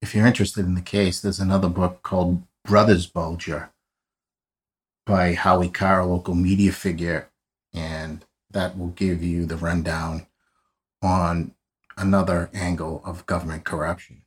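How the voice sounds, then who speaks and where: A man's voice: low voice, flat delivery, a little slow. A man in his forties with a Boston accent, hosting a true crime podcast in a dry, matter-of-fact way with wry asides. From South Boston, USA. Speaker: podcast host, private investigator. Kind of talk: scripted podcast narration.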